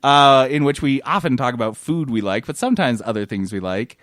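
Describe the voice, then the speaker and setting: An American man co-hosting a podcast with casual, sweary comedic banter in an animated manner. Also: mid-range voice, brisk, no room sound, clean studio sound. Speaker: podcast co-host.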